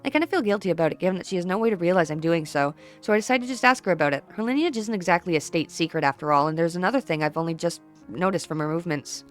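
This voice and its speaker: Fast-reading woman narrating a visual novel. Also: lowish voice, expressive, soft background music. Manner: brisk, with occasional stumbles.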